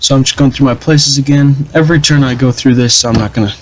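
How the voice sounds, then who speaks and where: Young guy doing gameplay commentary at his desk, flat dull voice, lots of ums and restarts, ordinary mid pitch. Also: fast talker, a little hiss or hum. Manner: rambling, many fillers and restarts. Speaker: gaming channel host.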